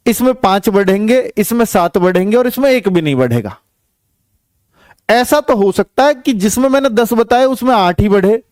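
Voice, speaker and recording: A man's voice: high voice, a little mellow, clear, resonant tone, dry recording, studio quality. A man giving an informal explanatory lesson to students, repeating points and checking that they follow. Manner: explanatory, repetitive, checks listeners follow with tag questions. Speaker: exam coaching teacher.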